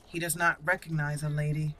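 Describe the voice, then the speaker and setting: A woman's voice: low voice, flat delivery, fairly quick, a bit bright. A woman narrating and roleplaying her way through a fantasy video game as a channel host. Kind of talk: roleplay game playthrough commentary. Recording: clear background noise. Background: game ambience.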